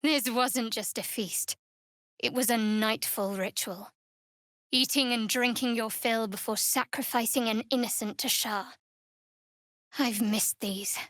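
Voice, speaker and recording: A woman's voice: fairly high pitch, fairly even intonation, unhurried, a bit bright, dry recording, clean studio sound. A woman playing a guarded, sardonic young female cleric in a fantasy video game, speaking in a cool, wry, clipped manner. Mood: guarded, low-key.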